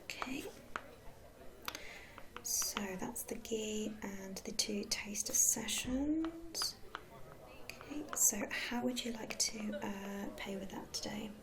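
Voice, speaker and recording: British lady doing asmr receptionist roleplay, soft breathy whisper, gentle and reassuring, bit of people murmur behind. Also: medium pitch, takes it slowly, long pauses, a crowd in the background. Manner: gentle, reassuring customer-service patter with endearments. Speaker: ASMR performer playing a club receptionist.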